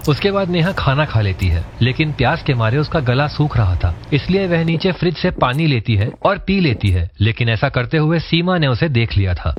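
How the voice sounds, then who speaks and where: A man's voice: medium pitch, big pitch swings, moderate pace, few pauses, a little hiss or hum. A man narrating a scripted story. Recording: dull, lo-fi voice track.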